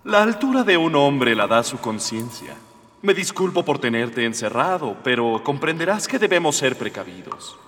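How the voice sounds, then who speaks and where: A man's voice: medium pitch, sing-song, moderate pace, a bit bright, big-room sound. A man playing a gallant, idealistic young alien rebel prince in a comic radio drama, earnest and formal. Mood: lofty, earnest.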